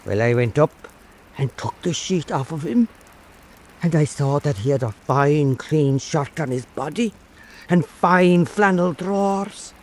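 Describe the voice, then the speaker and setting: A man playing an old Irish island storyteller, telling an eerie fireside tale in a deliberate, theatrical way for radio drama. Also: a little high, animated, a little mellow. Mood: eerie, measured.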